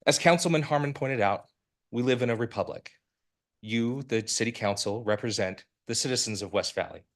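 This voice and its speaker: A man's voice: mid-range voice, a little fast. A man in his forties from Utah, giving a prepared public comment to a city council in an earnest, formal and persuasive way, with a few restarts, into a podium microphone in a council chamber. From Utah, United States. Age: 40s.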